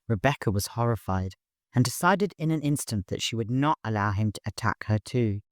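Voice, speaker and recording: A man's voice: medium pitch, lively intonation, a little fast, slight background noise. A man reading dramatic scripted fiction as an audiobook narrator.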